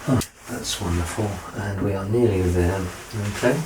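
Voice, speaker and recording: A man playing a doctor in an ASMR medical exam roleplay, soft-spoken and reassuring, with gentle teasing and close whispered passages. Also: low voice, moderate pace, background noise. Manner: slips into unvoiced whispering up close.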